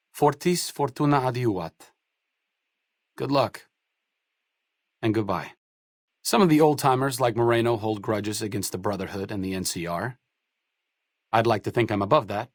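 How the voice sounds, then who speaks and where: An actor playing an idealistic, bookish wasteland doctor in a video game, articulate and wry in scripted dialogue. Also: male voice, on the low side, dry recording, clean studio sound. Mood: earnest, wry. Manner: articulate, wry, erudite wording.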